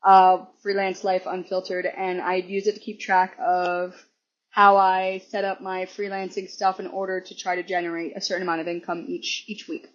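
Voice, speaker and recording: A hoarse woman guesting on a podcast, chatting casually and rambling with restarts and laughs. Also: fairly low pitch, little pitch movement, normal speed. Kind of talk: casual chat. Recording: dull lo-fi recording.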